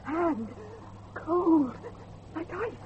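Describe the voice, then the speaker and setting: A very high-pitched, frightened wife in a period horror drama. She pleads in slow, breathless, stammering bursts. The recording is dull with faint noise. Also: animated, heavily acted. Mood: fearful, bewildered. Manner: breathless, stammering, halting delivery. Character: frightened wife of a night watchman, pleading and panicky.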